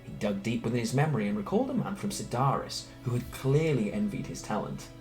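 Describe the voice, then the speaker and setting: A man reading a scripted story aloud in a theatrical, expressive way. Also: mid-range voice, fast. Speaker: storyteller reading fan narration. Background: background music.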